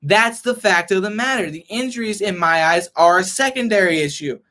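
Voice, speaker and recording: A young man ranting as a frustrated sports fan vlogger, hoarse and losing his voice, reading and answering chat. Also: sits high, animated, brisk, sharp tone. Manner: frustrated, heated rant.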